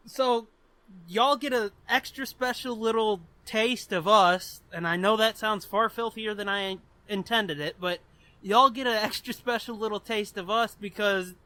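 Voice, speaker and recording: Man, podcast co-host, high-pitched, bright voice, slow drawl, loud crude joking and laughter. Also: dry recording. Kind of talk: casual comedic podcast banter.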